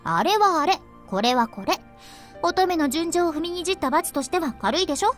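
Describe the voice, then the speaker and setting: Mischievous young game heroine, very fast talk, lively swoops, bold and teasing, music behind. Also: female voice, extremely high pitch. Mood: playful, eager. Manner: teasing, animated. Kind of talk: Japanese visual novel voice acting.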